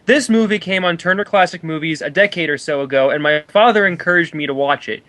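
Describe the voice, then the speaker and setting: A man's voice: a little high, quick pace, pushed and bright, dry recording. A man voicing an opinionated, squeamish male film critic in a declarative dramatic reading of a review. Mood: prim disapproval.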